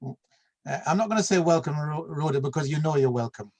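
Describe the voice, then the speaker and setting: A man with a slightly raised pitch hosts an online community meeting over a video call. He is easygoing and friendly, calling on each person by name with frequent small pauses. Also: expressive, a little mellow. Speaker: meeting host.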